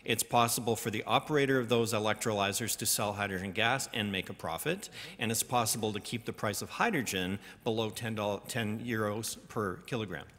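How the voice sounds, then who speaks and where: A man speaking on a conference panel, answering audience questions in a measured, explanatory way with some hesitations. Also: lowish voice, fairly bright tone. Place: large conference hall. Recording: reverberant conference hall.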